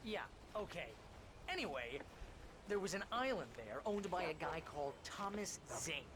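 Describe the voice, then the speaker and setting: An actor playing an excitable, chatty, brash agent and best friend in a video game. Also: male voice, high-pitched, normal speed. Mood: excited. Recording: clear ambient background noise.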